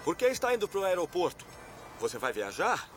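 A man's voice: high voice, wildly swooping pitch, fairly quick, faint music behind. A goofy naive young man. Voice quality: hesitant, stammering with fillers. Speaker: dub actor. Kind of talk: Brazilian Portuguese film dub.

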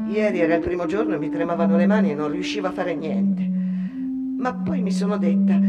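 An actress playing a shy, earnest young immigrant seamstress, hesitant and confiding in a radio drama monologue. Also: female voice, medium pitch, distant mic, close to an everyday voice. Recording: music behind the voice.

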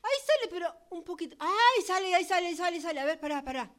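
A woman's voice: very high voice, lively intonation, racing, a bit bright. A woman with an Argentine accent acting a sleepy, irritable young woman roommate in an improvised radio play, swearing and grumbling. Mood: fussing. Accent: Argentine Spanish. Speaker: radio drama workshop actor.

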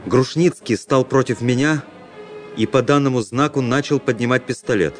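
An actor playing a cool, proud young officer who narrates his own duel in a radio drama, in measured literary phrasing. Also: medium pitch, faint music behind, lightly acted. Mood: tense, controlled.

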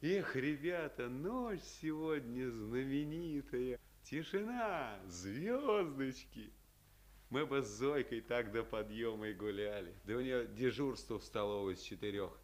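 A man playing a young army officer in an old Soviet radio drama. He has a medium-pitched voice and an easygoing manner, with theatrical little exclamations. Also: animated, measured pace, natural voice. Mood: relaxed, wistful. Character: easygoing young Soviet lieutenant on a summer night. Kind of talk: 1950s Soviet stage acting for radio.

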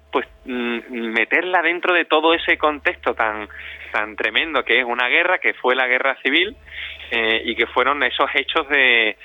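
A man's voice: mid-range voice, no room sound. Male interview guest, hesitant and searching delivery, muffled phone-call audio with no room sound. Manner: halting, with many pauses and searching repetitions. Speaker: interview guest, radio scriptwriter and director. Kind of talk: spontaneous interview answers. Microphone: telephone. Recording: dull lo-fi telephone call.

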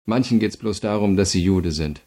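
An actor playing a calm, insistent state prosecutor who questions a prisoner in a measured way, in a radio crime drama. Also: male voice, low-pitched. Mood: grave, probing.

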